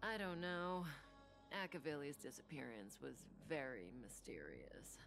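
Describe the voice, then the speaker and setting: A low, creaky, languid villainess. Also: female voice, very animated, a bit bright, soft background music, heavily acted. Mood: musing, knowing. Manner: unhurried, teasing, knowing. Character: sly, composed, worldly woman villain musing on fallen gods. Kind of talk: scripted video game voice acting.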